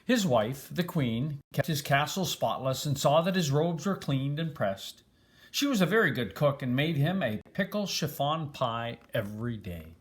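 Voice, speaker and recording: An older man reading a children's storybook aloud in an expressive, grandfatherly way, stressing and stretching words. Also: fairly high pitch, measured pace.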